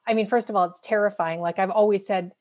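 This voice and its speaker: A woman in her late forties talks as a guest author on a podcast. Her pitch sits in the middle range. She is conversational and candid, reflecting casually with a self-deprecating touch. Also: fairly even intonation, quick pace, darkish tone. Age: late 40s.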